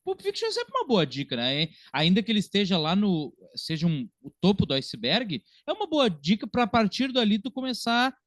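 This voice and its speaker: A man, co-hosting a casual film discussion in an animated, chatty way. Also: high voice, fairly quick, few pauses, no echo, clean studio sound. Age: early 30s. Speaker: podcast co-host and film critic.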